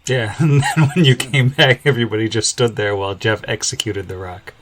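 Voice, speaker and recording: Easygoing man with a lowish voice. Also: fairly even intonation, brisk, background noise. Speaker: game master on a podcast. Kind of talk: casual chat.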